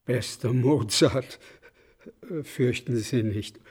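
An actor in a German radio play playing a gallant, teasing gentleman among party guests. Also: mid-range voice, steady tone, drawn-out words, slightly rough.

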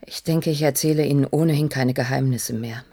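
Tired shaken woman scientist, breathy husky voice, hesitant, earnest, radio drama. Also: very deep voice, fairly quick, mellow. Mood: subdued, explanatory. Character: weary, shaken female researcher recounting a disaster. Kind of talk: German radio play acting.

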